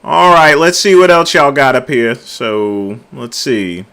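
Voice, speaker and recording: A middle-aged man hosting a casual late-night livestream, talking loudly and easygoing, chatting with his viewers. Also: mid-range voice, animated, slow pace, gravelly. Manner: loud, laid-back, drawls filler words like "there". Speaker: livestream host.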